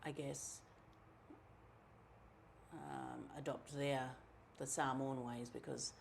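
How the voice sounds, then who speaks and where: A middle-aged woman from New Zealand with a New Zealand accent, telling her own story in a relaxed, chatty way, with many ums and asides. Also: extremely low pitch, slow, gravelly, slight background noise. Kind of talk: casual personal storytelling.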